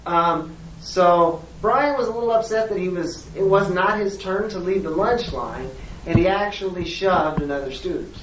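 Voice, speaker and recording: A person playing a polite schoolteacher on a call to a parent, speaking in a measured, hesitant and diplomatic way with frequent pauses. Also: mid-range voice, close to an everyday voice. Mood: polite, careful. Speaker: teacher. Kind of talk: role-played phone call demonstration. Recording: echoey room, distant mic, faint noise.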